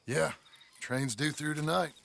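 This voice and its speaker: An actor playing a skeptical, gruff outlaw in a Western video game. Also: male voice, fairly high pitch, a little slow, a bit bright, slight background noise. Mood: wary, irritated. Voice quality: raspy, gravelly. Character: raspy, skeptical American outlaw, wary and gruff. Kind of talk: video game cinematic acting.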